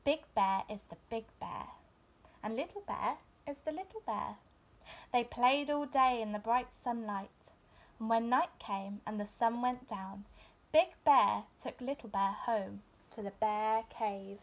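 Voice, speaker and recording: Unhurried, high-voiced young woman reading a storybook. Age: young adult. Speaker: teacher reading a bedtime story. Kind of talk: expressive children's storybook narration. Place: small furnished room indoors.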